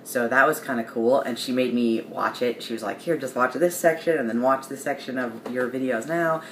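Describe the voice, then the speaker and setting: Young man, casual vlog, rambling, mid pitch, roomy room echo. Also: moderate pace, fairly bright tone. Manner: rambling, many ums and restarts, light laughs. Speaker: vlogger. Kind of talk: casual video diary. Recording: roomy echo with faint background hiss.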